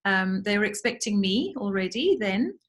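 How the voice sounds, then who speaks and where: An older woman with a South African English accent, talking relaxed as an interview guest, laughing often and pausing with ums. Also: medium pitch. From born in New Zealand, raised in England and southern Africa. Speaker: guest, model. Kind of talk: relaxed conversation.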